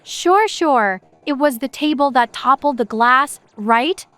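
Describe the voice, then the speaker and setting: A woman as a high-voiced stern cartoon mom. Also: lively intonation, takes it slowly, fairly bright tone, dry recording, full character voice. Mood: skeptical, firm. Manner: sarcastic, firm. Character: Bob's stern, skeptical mother. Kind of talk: children's cartoon story acting.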